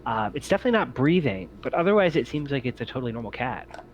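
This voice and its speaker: A man narrating a tabletop roleplaying game as game master, haltingly, thinking aloud as he goes. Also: mid-range voice, big pitch swings, highs cut off, slight background noise.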